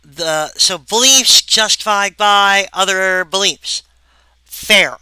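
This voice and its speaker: Lady lecturing on philosophy, slow and halting, very bright tone, lots of wry little asides. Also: medium pitch, clear, resonant tone, no echo, poor audio. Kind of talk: recorded lecture.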